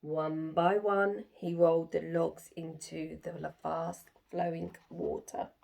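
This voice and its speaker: A woman's voice: low voice, little pitch movement, takes it slowly, creaky, a little mellow. A woman storyteller reading a children's picture book aloud with expression.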